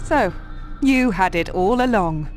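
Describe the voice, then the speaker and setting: A woman performs a sharp-tongued, wary figure in a medieval video game. Her voice is of average pitch, with dry, pointed phrasing. Soft music plays underneath. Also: animated, a little slow, close to an everyday voice. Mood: wary, challenging. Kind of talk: video game cutscene acting.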